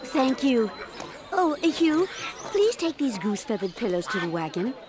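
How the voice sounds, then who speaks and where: A woman playing a kindly, fussing village dame in an audio drama, with gentle, old-fashioned formal speech. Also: a little high, very animated, a little slow, crowd noise. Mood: delighted.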